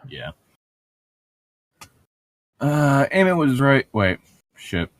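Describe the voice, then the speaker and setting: A man's voice: medium pitch, wildly swooping pitch, very slow, no echo. A man co-hosting a read-along, moving between wry, opinionated casual banter and reading a novel aloud, with some swearing. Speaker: co-host of a book read-along show.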